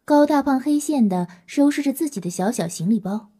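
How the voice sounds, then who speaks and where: A woman's voice: high-pitched, lively intonation, a little fast, warm tone, husky. A woman reading scripted narration for an audio drama.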